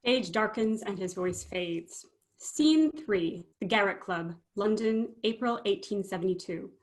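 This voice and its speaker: A woman's voice: medium pitch, a little slow, rough recording. A woman reading out stage directions as scripted narration for a dramatic play reading.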